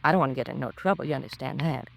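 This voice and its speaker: Gossipy, gravelly landlady. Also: rumbling low, very fast, a little hiss or hum. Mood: confiding. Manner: folksy, chatty, ungrammatical colloquial talk. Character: nosy, gossipy, disapproving apartment landlady. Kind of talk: 1950s radio crime drama acting.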